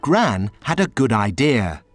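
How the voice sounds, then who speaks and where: Guy reading a kids book out loud, super animated, some quiet music under it. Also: medium pitch, no room sound. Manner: slow, very expressive storytelling. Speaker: storybook reader. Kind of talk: children's read-aloud narration.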